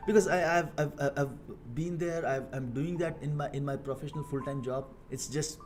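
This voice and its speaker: A man in his thirties from India with an Indian accent, talking casually on a coding live stream, halting with many fillers and repeated words. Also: a little high, soft background music. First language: Hindi. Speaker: live-streaming software engineer.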